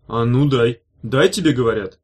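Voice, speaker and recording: Man doing a hissing demon guard, menacing, husky, audiobook. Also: medium pitch, normal speed, a little mellow, close to an everyday voice. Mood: furious. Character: sinister male demon guard, hissing and furious.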